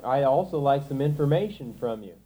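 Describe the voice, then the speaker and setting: Male interviewer, brief plain questions, mellow tone, mid pitch. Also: animated, fairly quick, husky, low-quality recording. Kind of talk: oral history interview questions.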